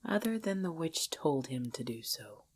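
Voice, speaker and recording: A woman's voice: low voice, very animated, slightly rough, exaggerated voice. A woman voicing an ancient, possessive vampire lover in an intimate ASMR roleplay, speaking breathily and formally, often dropping into a whisper. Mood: somber, bitter. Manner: slow, formal, archaic phrasing, hushed.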